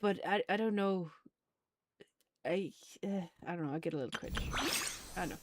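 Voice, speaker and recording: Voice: medium pitch, moderate pace, no echo, studio quality. A streamer giving casual, rambling and blunt commentary on a game, swearing freely.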